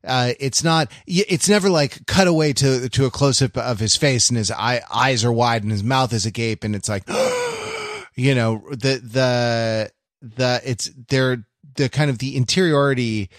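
A man co-hosting a podcast, discussing film casually and analytically in a halting way, often repeating words as he works out his ideas. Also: medium pitch, sing-song, dry recording, clean studio sound. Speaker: podcast co-host.

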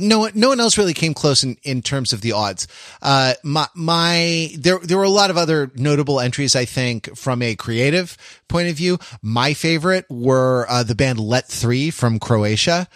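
A man's voice: fairly high pitch, unhurried, a bit bright, dry recording. Man talking casually, close and dry. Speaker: podcast host. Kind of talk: casual pop-culture discussion.

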